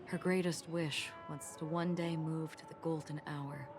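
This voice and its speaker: A soft-spoken forgetful swordswoman. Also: low-pitched, fairly even intonation. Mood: wistful. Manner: quiet, slow, half-whispered. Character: calm forgetful swordswoman, young adult. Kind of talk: game voice acting. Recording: game audio with music underneath.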